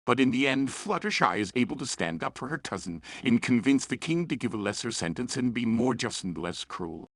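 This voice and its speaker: Lively, gravelly man reviewing stories. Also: mid-range voice, a little fast, hardly any pauses, dry recording, studio quality. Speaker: story reviewer. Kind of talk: scripted review narration.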